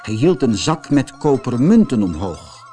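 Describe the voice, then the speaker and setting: Male audiobook narrator for kids' tales: expressive, highly varied intonation, light musical backing. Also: moderate pace, faint music behind. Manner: expressive, dramatised reading. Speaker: storyteller. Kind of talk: scripted children's audiobook narration.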